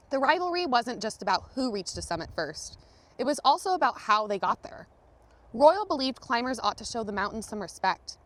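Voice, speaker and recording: High-voiced woman reading a storybook aloud. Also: dry recording. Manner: expressive storytelling with dramatic emphasis and pauses. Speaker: storybook reader, museum manager. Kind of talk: read-aloud children's picture book narration.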